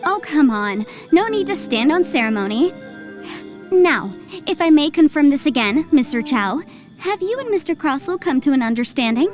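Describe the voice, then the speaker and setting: A woman's voice: high voice, big pitch swings, moderate pace, a little mellow, faint music behind. A woman voicing a breezy, confident, articulate young legal advisor in a video game. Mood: easygoing, professional. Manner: breezy, articulate, self-assured.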